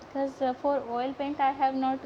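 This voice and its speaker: A woman's voice: high-pitched, monotone, normal speed. A young woman from Odisha with an Indian accent and Odia as her native language, hosting a casual live painting tutorial with a hesitant, stumbling delivery. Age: young adult. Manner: hesitant, stumbling, repeats words. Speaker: art live-stream host and painting teacher. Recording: dull lo-fi audio with heavy background noise.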